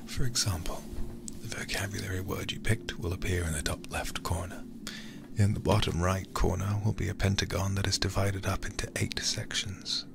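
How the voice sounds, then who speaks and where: A man streaming a game in ASMR style, reading aloud and commenting in a hushed, intimate way close to the microphone. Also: deep voice, flat delivery. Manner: hushed, slow and intimate delivery with breathy whispers.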